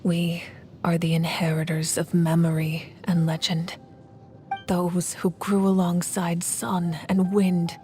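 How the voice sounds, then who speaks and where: An actress narrating a solemn legend in a hushed, reverent voice in a video game. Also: female voice, on the low side. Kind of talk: scripted narration.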